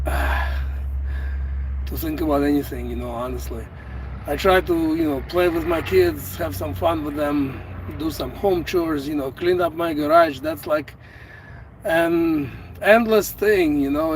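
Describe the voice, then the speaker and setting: A middle-aged man from Ukraine, a native Russian speaker with a Russian accent, chatting casually on a live stream in slow, halting speech full of fillers and restarts, recorded on a phone in a vehicle. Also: fairly high pitch, a little hiss or hum, low-quality recording. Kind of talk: casual live-stream chat, reading out viewer comments. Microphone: phone without an external microphone.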